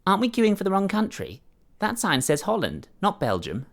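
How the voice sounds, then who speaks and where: A cheeky little brother acted out by a man reading a short story, pitched well up and swooping. He is a know-it-all, needling and full of questions. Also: huge pitch swings, moderate pace, creaky, heavily acted. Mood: pestering.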